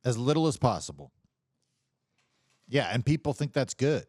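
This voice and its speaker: A man in a casual livestream conversation, talking in a halting, rambling way with some swearing. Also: medium pitch, animated, darkish tone, dry recording, studio quality.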